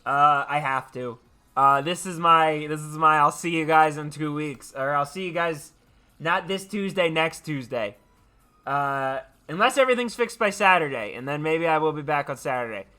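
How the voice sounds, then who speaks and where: A man hosting a livestream, sounding tired yet animated, chatting casually and haltingly as he repeats his phrases. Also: on the high side, clean tone. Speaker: livestream host. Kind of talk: casual rambling chat to viewers.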